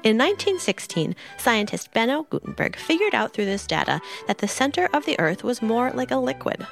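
A woman's voice: on the high side, very animated, a little fast, creaky, soft background music. A woman host giving scripted science narration for kids, explaining with playful comparisons. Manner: bright explainer, playful analogies. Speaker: podcast host.

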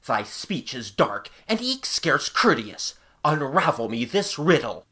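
A haughty, noble knight of old, performed by a woman storyteller. The voice sits very deep and husky, delivering stately, pompous archaic lines with grand rises and falls. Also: sing-song, unhurried, exaggerated voice. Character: haughty, gallant medieval knight captain, male, gravely noble. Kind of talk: storybook character dialogue.

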